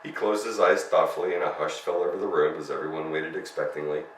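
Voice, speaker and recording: A man's voice: extremely low pitch, flat delivery, fast, fairly bright tone. A man narrating a children's story as a storyteller, reading expressively. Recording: roomy, distant mic, faint noise.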